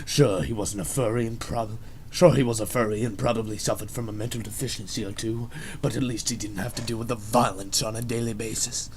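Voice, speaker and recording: A man's voice: on the low side, fairly even intonation, fairly quick, a little mellow. A man giving a dramatic scripted reading of a story as its narrator. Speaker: narrator of a fan fiction reading.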